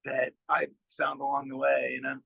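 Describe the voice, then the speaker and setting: A man speaking as a musician guest in a casual interview, thinking aloud in a halting way as he explains. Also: mid-range voice, little pitch movement, creaky, bright, forceful tone, slightly rough, no room sound.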